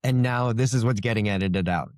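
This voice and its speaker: Low-voiced man talking as an interviewed author. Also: fairly quick, a little mellow, dry recording. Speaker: author being interviewed about his book. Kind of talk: conversational interview, explaining his writing.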